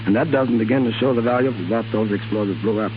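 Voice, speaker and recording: A man's voice: fairly low pitch, fast. A man as a guest in a scripted 1930s radio interview, reading prepared answers in a matter-of-fact way. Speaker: guest, special effects man. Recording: tinny 1930s radio with faint noise.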